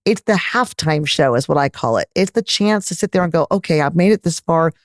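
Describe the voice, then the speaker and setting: Animated middle-aged Texan woman, dry close sound. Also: sits low, brisk, no room sound. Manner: animated, emphatic, candid with asides. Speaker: gynecologist guest. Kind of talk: conversational interview answers.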